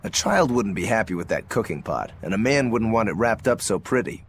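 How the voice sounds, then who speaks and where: Lively, teasing rogue. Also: male voice, on the low side, a little fast, slight background noise. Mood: nosy, teasing. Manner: casual, teasing, insinuating. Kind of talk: English video game voice acting.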